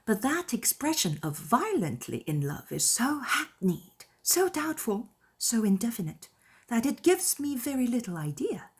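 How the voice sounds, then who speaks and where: A woman plays a kindly middle-aged aunt from a period novel. She is sensible and gently concerned, speaking in a measured way with a soft, breathy voice. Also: on the high side, sing-song, pitched above the performer's own voice, full character voice. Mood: skeptical, amused. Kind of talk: dramatized classic novel audiobook.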